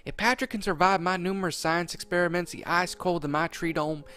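A man's voice: high voice, steady tone, a little fast, pushed and bright, clean tone, pitched above the performer's own voice. A man voicing an upbeat young female squirrel scientist with a Southern US country accent. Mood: encouraging.

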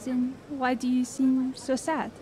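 A caring young woman in a radio drama. Her voice is high, and she is gentle yet persistent, often questioning with concern. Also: level tone, unhurried. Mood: curious, concerned. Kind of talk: audio drama acting.

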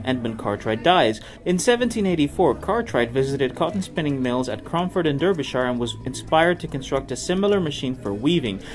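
Higher-pitched man narrating over music. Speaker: presenter of a tech history video series. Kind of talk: scripted narration. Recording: music behind the voice. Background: background music.

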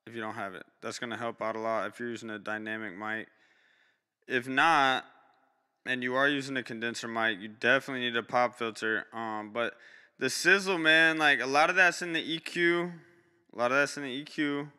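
A young man on a live stream gives blunt, slangy feedback, his voice bright and crisp with a smooth clarity. He talks into a close studio mic in a small home room, with some long reverb on the voice and faint music underneath. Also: brisk. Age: young adult. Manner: blunt, slangy, swears, jumps high in pitch when reacting. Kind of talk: casual live-stream critique. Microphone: studio mic close up, headphones on.

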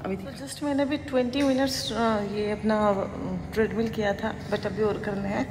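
A woman's voice: mid-range voice, fairly even intonation, normal speed. A woman in her thirties filming a casual workout vlog on her phone in an empty hotel gym, explaining exercises in a chatty, instructive way and mixing English words into her talk. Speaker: fitness vlogger. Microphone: phone camera, handheld and propped. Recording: echoey gym hall with noise.

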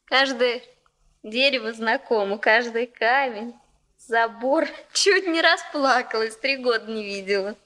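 A refined young woman in a 1960s Soviet radio play. Her voice is on the high side, and she speaks softly, with wistful, sentimental reserve. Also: measured pace.

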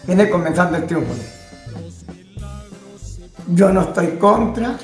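An older man from El Salvador arguing emphatically in a casual on-camera interview, animated and gesturing. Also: high voice, a little slow. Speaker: self-styled apostle. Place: small indoor room. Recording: echoey room with faint music.

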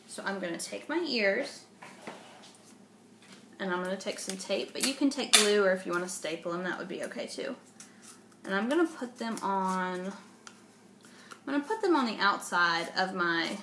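A young adult woman reading and explaining for children, often asking the listener questions. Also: medium pitch. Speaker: storyteller and craft presenter. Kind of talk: children's read-aloud and simple instruction. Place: indoors, home dining room. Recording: roomy, noisy home phone recording.

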